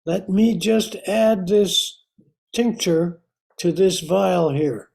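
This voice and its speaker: An anxious, mild-mannered scientist of old-time radio drama , played by an older man. He speaks slowly in a mellow tone, hesitant and politely apologetic. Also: high-pitched, gravelly, full character voice. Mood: nervous. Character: anxious, apologetic male scientist, husband and uncle.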